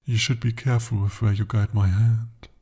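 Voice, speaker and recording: A man voicing a devoted, possessive male demon in an ASMR romance roleplay, speaking softly and formally. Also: fairly low pitch, little pitch movement, moderate pace, husky. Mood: tender. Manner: soft, intimate, formal speech. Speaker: voice actor.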